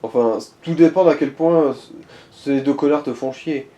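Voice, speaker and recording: A man's voice: fairly high pitch, normal speed, warm tone, echoey room, dull audio. A man giving casual gaming advice in a slangy, sometimes crude and hesitant way. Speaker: co-commentator advising the player. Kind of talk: casual gaming chat with tips.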